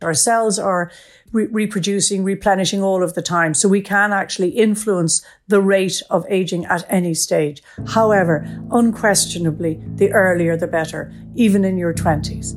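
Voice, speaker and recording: A woman professor explains science as a guest in an interview. Her voice sits at a middle pitch, and she speaks in an articulate, enthusiastic way. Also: normal speed, soft background music.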